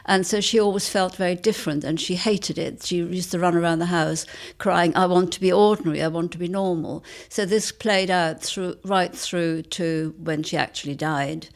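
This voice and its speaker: A woman's voice: lowish voice, steady tone, normal speed, gravelly, hardly any pauses. An older woman, an author, talking reflectively as a guest in an interview.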